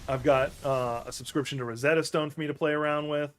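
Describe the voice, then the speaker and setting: A man's voice: a little high, steady tone, fast talker, soft background music. A man streaming an action game, giving loose, rambling commentary as he plays. He often corrects himself mid-sentence and chats to his viewers. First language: English. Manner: rambling, self-correcting, talks to chat as he plays. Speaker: gaming streamer. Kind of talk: casual let's-play commentary while playing.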